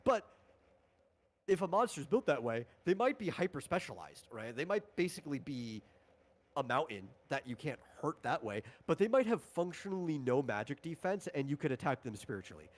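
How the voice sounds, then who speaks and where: A man's voice: a little high, big pitch swings, moderate pace. A man talking casually on a livestream as a tabletop game designer, explaining rules in a rambling, informal way.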